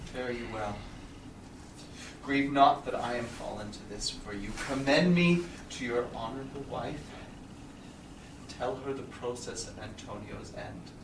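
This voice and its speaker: A man's voice: mid-range voice, unhurried, lightly acted. A man playing a resigned, noble merchant in a staged Shakespeare reading, solemn and formal. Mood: resigned, tender. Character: resigned, noble merchant facing death for friendship. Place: small theatre stage, indoors. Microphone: far audience camera. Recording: echoey stage, distant camera, clear noise.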